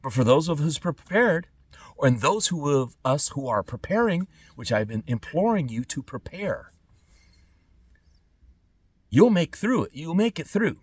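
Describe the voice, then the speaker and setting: A middle-aged man speaking an unscripted podcast monologue in emphatic, halting phrases with pointed pauses. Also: on the high side, big pitch swings, husky, dry recording, recorded from a distance. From born in Trinidad and Tobago, lived in California. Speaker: podcast host.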